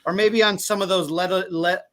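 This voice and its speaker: A man's voice: high voice, fairly even intonation, normal speed, crowd noise. A man on a beer review panel who jokes and teases with crude humor in casual chat. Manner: joking, teasing, crude humor.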